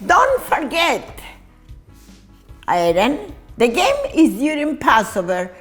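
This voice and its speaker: A woman reading a children's story aloud in an expressive, deliberate manner. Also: sits high, soft background music.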